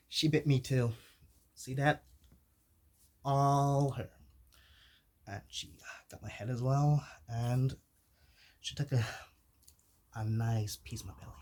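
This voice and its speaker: Man playing a slow, halting, shy zombie. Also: mid-range voice. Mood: sheepish, confiding. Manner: halting, stammering, apologetic, soft-spoken. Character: shy, soft-natured zombie, hesitant but hungry for brains. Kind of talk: whispered ASMR roleplay addressed to the listener.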